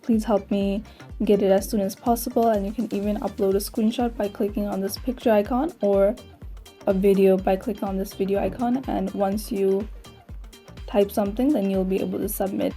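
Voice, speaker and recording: A woman's voice: medium pitch, fairly even intonation, moderate pace, dark tone, soft background music. A woman narrating a step-by-step tutorial in a plain, instructional manner. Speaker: tutorial host.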